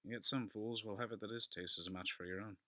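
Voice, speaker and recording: A man's voice: lowish voice, fairly even intonation, fast, pushed and bright, no echo, studio quality. A man playing a drunken, boastful Italian wine connoisseur in a dramatic audiobook reading, exclamatory and dismissive.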